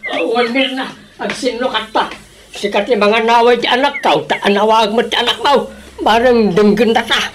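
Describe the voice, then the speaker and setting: A woman's voice: on the high side, steady tone, moderate pace, close to an everyday voice. A woman playing a bickering village neighbour in a comedy radio drama, teasing and gossiping with an Ilocano accent. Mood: coaxing.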